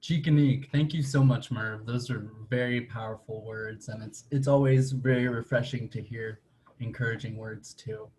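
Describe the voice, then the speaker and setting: A man moderating an online panel, thanking one speaker and gently introducing the next. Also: mid-range voice, fairly even intonation, normal speed, a little hiss or hum, low-quality recording.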